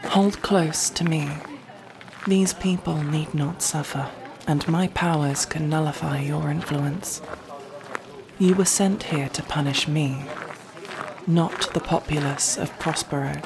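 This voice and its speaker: Casting: woman to play stern, dutiful matriarch queen for ASMR roleplay; formal, commanding, very slow delivery, clearly noisy ambient backdrop. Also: low voice, steady tone. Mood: guarded, stern. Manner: formal, imperious, measured. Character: regal, resentful, dutiful sorceress matriarch queen of desert world.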